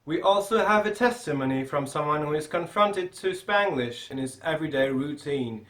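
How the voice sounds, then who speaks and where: A man's voice: a little high, animated, moderate pace, fairly bright tone, roomy sound. A young man reading a scripted news report in English as the anchor. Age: young adult.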